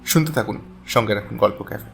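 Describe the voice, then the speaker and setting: A man's voice: on the low side, quick pace, vocal fry, fairly bright tone, faint music behind. A man announcing an audio story show, delivering a scripted introduction to listeners. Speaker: channel announcer.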